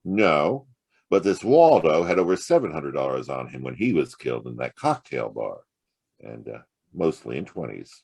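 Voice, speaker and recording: A man's voice: very deep voice, sing-song, normal speed, vocal fry, a little mellow, husky, full character voice. An American man playing a wry, sardonic hard-boiled private detective in a noir audio drama. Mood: sardonic, cool. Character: hard-boiled, wisecracking male private detective, narrating his case.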